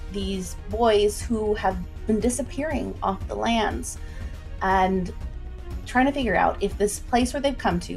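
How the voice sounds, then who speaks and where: A woman's voice: mid-range voice, creaky. A woman hosting a casual livestream, talking informally in a chatty, folksy way as she introduces and recaps a story.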